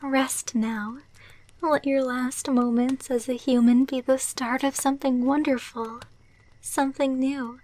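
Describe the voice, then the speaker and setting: Woman acting as a devoted vampire girlfriend, ASMR roleplay, high soft voice, slow intimate delivery, tender and reassuring. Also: flat delivery, low-quality recording. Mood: loving, soothing. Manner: soft, intimate, breathy delivery, often dropping to whispers. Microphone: close microphone, dry.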